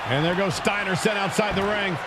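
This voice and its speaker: A man doing excited, punchy play-by-play commentary for a wrestling video game. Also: sits high, fairly quick, very noisy, natural voice. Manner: excited, punchy broadcast delivery. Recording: arena crowd roar and loud music. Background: loud arena crowd and music.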